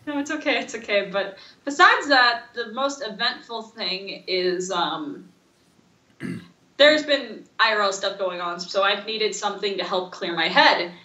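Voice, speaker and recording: A woman co-hosting a casual podcast, rambling through stories in an animated, informal way. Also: mid-range voice, a little slow, roomy sound, lo-fi sound. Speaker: podcast co-host. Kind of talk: casual chat.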